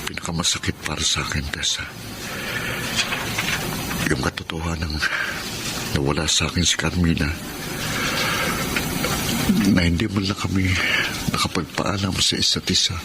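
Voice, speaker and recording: An actor playing a gentle, grieving young widowed father in a Filipino radio drama, speaking tenderly and hesitantly. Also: sits low, steady tone, normal speed, pauses often, very noisy. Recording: radio drama with loud background ambience.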